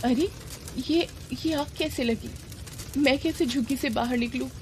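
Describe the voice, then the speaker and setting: A woman voicing a distressed, self-sacrificing poor young mother in an animated moral story, pleading and emotional. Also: high voice, a little slow, slight background noise, pitched above the performer's own voice. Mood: panicked.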